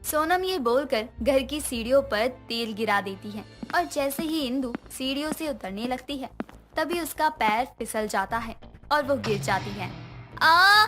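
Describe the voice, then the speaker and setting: Female narrator for moral stories, high register, light music bed. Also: expressive, unhurried. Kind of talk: scripted story narration.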